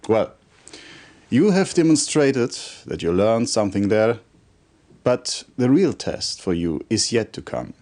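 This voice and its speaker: Game cutscene role: a stern military commander, formal and authoritative, measured delivery with very lively intonation. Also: male voice, on the low side. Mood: stern, urgent. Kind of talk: video game cutscene acting, scripted briefing. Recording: compressed old game video audio.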